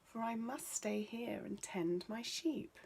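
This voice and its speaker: Storybook shepherdess, read by a woman: young, cheerful, plucky, raised pitch, teases without being impressed. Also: takes it slowly, creaky, a little mellow, breathy edge, full character voice. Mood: breezy refusal. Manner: teasing, unimpressed. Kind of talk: bedtime storybook character reading.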